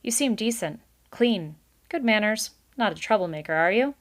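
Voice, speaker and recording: A woman's voice: mid-range voice, lively intonation, moderate pace, pitched above the performer's own voice, lightly acted. A woman voicing a brash male beaver boss in a children's storybook, with breezy, slangy, emphatic salesman patter. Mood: breezily dismissive.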